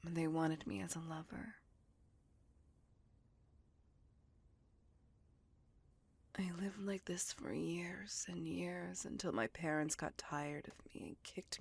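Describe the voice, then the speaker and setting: A woman plays a sorrowful, bitter vampire woman in a whispered ASMR roleplay. Her voice is very breathy and slow, with a lot of creak at the edges. She confides her past intimately, as if to one listener. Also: lowish voice, full character voice. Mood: bitter, resigned. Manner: slow, intimate, confessional storytelling. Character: beautiful, melancholy, bitter female vampire recounting her past. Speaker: voice actor in audio roleplay.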